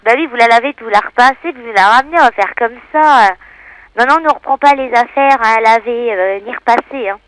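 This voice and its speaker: A woman with a high voice talks on the telephone as a shop assistant. She is courteous and matter-of-fact. Her style is everyday customer service. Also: fairly quick, fairly bright tone, clean tone. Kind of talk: polite customer-service phone talk. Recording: telephone call.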